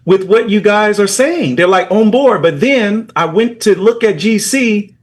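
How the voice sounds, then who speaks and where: Man, raised pitch, candid conversational panelist who circles back on points. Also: unhurried. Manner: frank, questioning, repeats himself. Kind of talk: casual group discussion.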